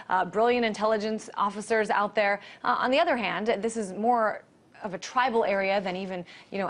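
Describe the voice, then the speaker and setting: An American woman hosting a conversational news interview, speaking in a measured, questioning and hedging manner. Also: medium pitch.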